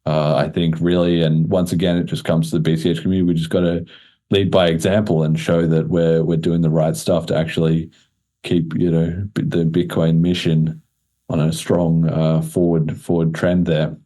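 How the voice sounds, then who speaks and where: A man's voice: rumbling low, level tone, moderate pace, warm tone. A man hosting a podcast in a casual, chatty style with informal, slangy talk. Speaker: podcast host.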